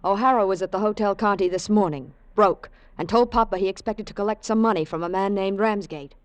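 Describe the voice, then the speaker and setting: A woman's voice: medium pitch, brisk, no room sound, close to an everyday voice. An actress playing a composed, businesslike married woman in old radio style, polite and direct. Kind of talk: 1940s-50s radio acting.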